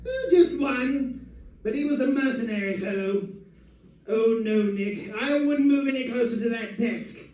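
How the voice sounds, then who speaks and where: Man playing a suave high-voiced radio villain. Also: lively intonation, measured pace, a little mellow. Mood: coolly mocking. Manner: silky, taunting, mock-courteous, chuckling. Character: suave, mocking male escaped-convict villain with a gang. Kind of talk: old-time radio crime drama acting. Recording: echoey live stage, distant mic, faint noise.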